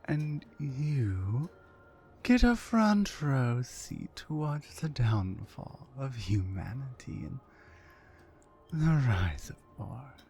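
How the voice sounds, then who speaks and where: A woman voicing a dominant, mean orc warrior woman in an ASMR roleplay, slow, commanding and condescending, with a low breathy voice that often nears a whisper. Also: big pitch swings, a little mellow, soft background music, full character voice. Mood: gloating, condescending.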